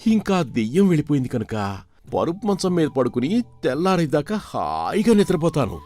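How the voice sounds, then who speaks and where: A man voicing an excitable, superstitious village manservant with exclamatory rustic speech in a folk tale. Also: fairly high pitch, normal speed, soft background music. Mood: relieved awe. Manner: exclamatory, colloquial rustic phrasing.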